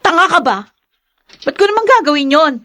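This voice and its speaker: An actress playing a scheming pregnant mistress who talks sharply and scornfully, in a radio drama. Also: female voice, extremely high pitch, lively intonation, a little fast, sharp tone, full character voice.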